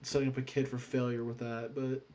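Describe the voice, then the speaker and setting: A man's voice: mid-range voice, level tone, a little fast, a crowd in the background. A man hosting a reaction video, giving blunt, joking commentary in casual slang.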